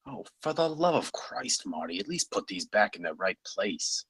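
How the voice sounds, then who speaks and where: A man reading the part of a gruff, wry, grumbling theme-park designer at a screenplay table read, with a husky voice. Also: fairly low pitch, very animated, moderate pace, a bit bright, no echo, exaggerated voice. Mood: exasperated.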